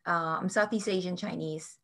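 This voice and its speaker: Bright-voiced chatty woman from Malaysia. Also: fairly low pitch, steady tone. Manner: warm, chatty storytelling with frequent ums and quick laughs. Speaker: tarot deck creator and artist. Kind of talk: casual interview answers.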